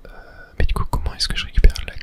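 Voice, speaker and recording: A person softly whispering a running ASMR commentary over a video game, in short hushed phrases. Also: fast. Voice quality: soft unvoiced whisper. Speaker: gaming ASMR streamer.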